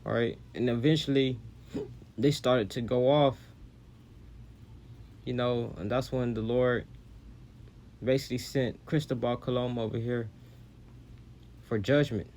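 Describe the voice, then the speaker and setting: A man's voice: mid-range voice, fairly even intonation, measured pace, pauses often, a little mellow, slight background noise. A man giving sermon-like commentary on video clips, casual and repetitive, often quoting scripture. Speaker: religious commentator.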